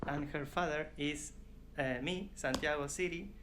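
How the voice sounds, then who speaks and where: Man in his thirties, fairly high voice, faint background noise, formal home-video narration. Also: measured pace. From Argentina. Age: 30s. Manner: calm, formal, announcing details.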